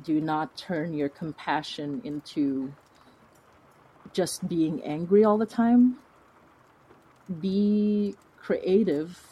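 A woman's voice: on the low side, mellow, slightly rough, rough recording. A woman speaking as a podcast guest, answering in an earnest, reflective, conversational way. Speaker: guest, fiction podcast creator.

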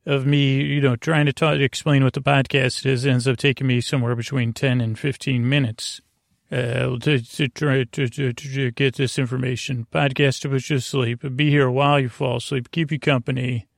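A man with a creaky voice hosting a sleep podcast, rambling in a lulling, meandering, halting way. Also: medium pitch, no room sound, clean studio sound. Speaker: podcast host.

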